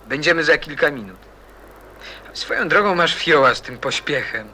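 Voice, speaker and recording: A man's voice: a little high, animated, normal speed, bright, forceful tone. A man playing a moody, teasing teenage boy in a radio play, nonchalant, laconic and secretive. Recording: noticeable background noise and ambience.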